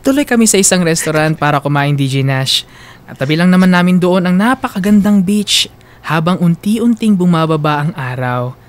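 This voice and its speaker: A woman's voice: lowish voice, lively intonation, moderate pace, close to an everyday voice. A woman acting as a young Filipina telling her love story in a radio drama. She narrates in the first person, sentimental and confiding, with fond attachment in the delivery. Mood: fond, wistful.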